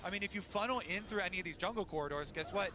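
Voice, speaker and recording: A man's voice: high-pitched, racing, noisy background. A man giving analytical live esports commentary in a conversational way, as if thinking aloud.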